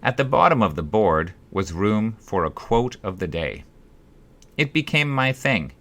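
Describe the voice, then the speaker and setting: A man reading his own first-person story as an audiobook, with measured, deliberate phrasing. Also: sits low, bright, forceful tone. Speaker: author reading own book.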